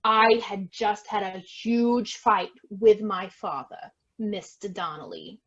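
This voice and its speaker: A woman's voice: mid-range voice, animated, slow pace, highs cut off, crowd noise. A woman playing a defensive, melodramatic estate heiress in an amateur online stage farce, theatrical and exclaiming. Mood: dramatic storytelling. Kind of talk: amateur stage farce acting over video.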